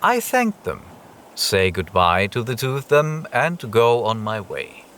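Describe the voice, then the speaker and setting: A man playing an introspective wanderer who narrates a surreal journey in a radio play, speaking deliberately. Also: medium pitch, big pitch swings, a bit bright, a little hiss or hum. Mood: contemplative. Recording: music under the voice. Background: music.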